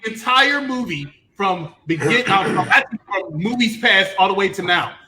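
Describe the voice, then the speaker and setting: A man hosting an animated review show, ranting with theatrical emphasis and repeating himself for effect. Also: high voice, normal speed, a crowd in the background. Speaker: host.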